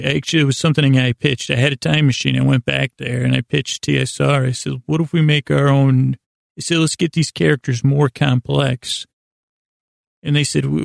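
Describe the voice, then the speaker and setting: Very creaky, meandering American man. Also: mid-range voice, dry recording, clean studio sound. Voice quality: gravelly, creaky. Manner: halting, meandering, self-interrupting, deadpan whimsy. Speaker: podcast host. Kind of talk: rambling, improvised monologue storytelling.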